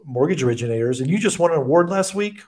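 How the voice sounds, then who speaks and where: A man's voice: on the high side, lively intonation, fast, a little mellow. An American man around his forties hosting a podcast, interviewing in a casual, conversational way on a close desk microphone. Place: indoor room with bookshelves, on a video call. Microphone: desk microphone close to the mouth.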